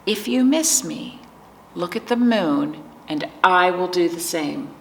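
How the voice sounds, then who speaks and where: Woman reading as a loving, unhurried old grandmother. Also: fairly low pitch, expressive, gravelly, a little hiss or hum, heavily acted, low-quality recording. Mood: tender. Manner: tender, unhurried promises. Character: loving, reassuring old grandmother in a picture book.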